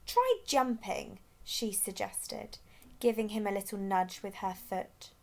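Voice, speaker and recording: A woman's voice: mid-range voice, level tone, a little slow, creaky, darkish tone. A woman reading a children's storybook aloud expressively as a storyteller.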